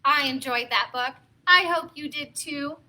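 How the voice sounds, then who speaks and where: A woman hosting story time, reading aloud and talking to young children in an encouraging, expressive way with simple phrasing. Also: high-pitched, a little slow.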